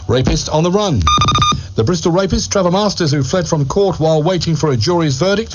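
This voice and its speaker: A man's voice: on the high side, a little mellow, dull audio. A man reading radio news in a punchy tabloid style, with emphatic, clipped headlines. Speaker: radio newsreader.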